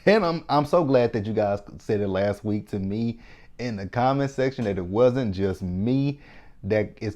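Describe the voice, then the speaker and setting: Texan man, lower voice, sassy TV recap host, chatty and opinionated. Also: mellow. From Texas. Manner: chatty, sassy, opinionated, talking straight to viewers. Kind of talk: casual TV episode recap.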